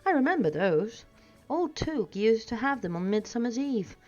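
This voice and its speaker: A woman voicing a fussy, polite and excitable male hobbit homebody in a storybook reading. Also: on the high side, moderate pace, pitched above the performer's own voice. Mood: excited. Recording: dull sound with music behind.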